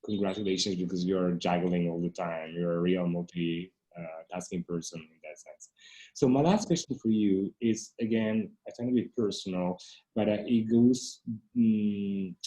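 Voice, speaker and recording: A man moderating an artist talk, asking long, halting, roundabout questions in a conversational way. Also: fairly low pitch, mellow, low-quality recording. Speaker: interviewer and moderator.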